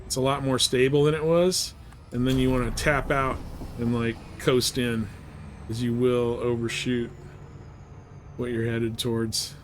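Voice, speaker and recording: A man's voice: medium pitch, little pitch movement, unhurried, soft background music. A man livestreaming a video game with casual commentary, rambling a little as he thinks aloud. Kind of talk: casual live gameplay commentary.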